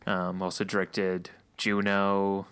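A man co-hosting a podcast in casual chat, relaxed and chatty, laughing often, saying he is losing his voice. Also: deep voice, slow pace, smooth. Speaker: podcast co-host.

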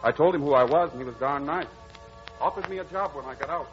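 A man's voice: on the high side, fast talker, natural voice. Actor doing an eager young guy home from the navy, old radio drama, dull sound, faint music. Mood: casual, earnest. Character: earnest young navy veteran, affectionate stepson. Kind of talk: 1940s radio acting.

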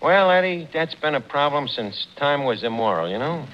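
A breezy New York tavern manager in a 1940s radio comedy, pitched high with a swooping delivery. He is slangy and mangles fancy words, on a dull, noisy old recording. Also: sing-song, moderate pace. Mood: wry. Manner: slangy, wisecracking, full of malapropisms. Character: cheerful wisecracking tavern manager who mangles his words. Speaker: tavern manager, lead comic.

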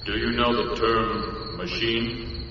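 An actor playing a solemn, guarded alien space traveler in a radio drama, speaking stiffly and formally through a reverberant translating machine. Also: male voice, mid-range voice, fairly even intonation. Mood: solemn, patient. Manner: stilted, formal, halting, without contractions. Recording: echoing, muffled, distant, noisy radio recording.

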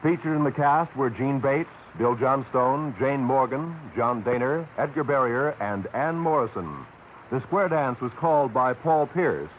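A man's voice: mid-range voice, lively intonation, measured pace. An American man reading scripted credits as a 1940s radio announcer. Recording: muffled, hissy vintage radio recording.

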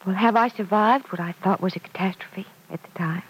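A woman playing an earnest, lovesick young daughter in an old-time radio soap opera, hesitant and husky, repeating her words. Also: mid-range voice, brisk, natural voice, poor audio. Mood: reflective. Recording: vintage radio recording.

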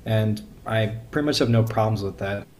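A man's voice: fairly low pitch, normal speed, darkish tone, a little hiss or hum. A man speaking as a podcast guest in casual conversation, often repeating words as he builds his point. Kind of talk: casual chat.

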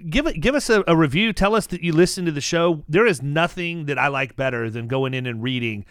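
A man hosting a casual podcast, talking animatedly with many restarts and making pitches to his listeners. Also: brisk, clear, resonant tone, no echo, clean studio sound. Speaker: podcast host.

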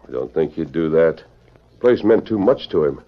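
A righteous masked cowboy hero in an old radio serial. His deep voice swings widely in pitch and stays firm and authoritative. The sound is muffled, with some noise. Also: lively intonation, normal speed. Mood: thoughtful. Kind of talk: 1940s radio acting.

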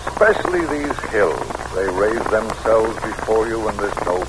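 An actor playing a weary, folksy middle-aged American president in a vintage radio drama, reflective and drily humorous. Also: male voice, very animated, normal speed. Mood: reflective, dry. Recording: noisy old radio recording, rumbling background.

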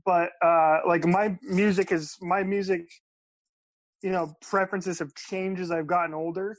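High-voiced enthusiastic podcast host. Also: male voice, steady tone, dry recording. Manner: enthusiastic, halting, restarts sentences. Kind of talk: interview question.

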